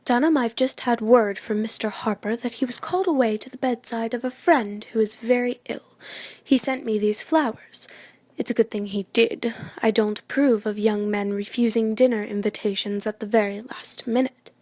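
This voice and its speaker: A woman playing an excitable, imperious New York society hostess and mother in a radio play, chattering and dramatic. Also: on the high side, normal speed, no room sound, close to an everyday voice. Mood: flustered, brisk.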